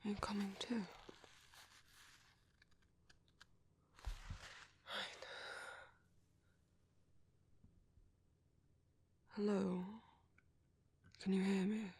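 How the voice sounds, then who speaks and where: Man acting a soft British vampire priest, hushed ASMR whisper, very breathy, gentle, protective, hesitant, dry close recording. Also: high voice, little pitch movement, moderate pace, no room sound, full character voice. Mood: soothing. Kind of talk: ASMR roleplay.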